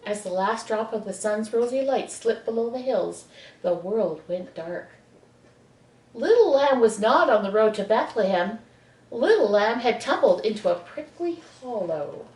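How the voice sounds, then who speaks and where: Expressive woman storyteller in an echoey room. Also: fairly high pitch. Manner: animated, dramatic pauses. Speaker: children's storyteller. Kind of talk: expressive bedtime story reading for children. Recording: echoey room, distant mic.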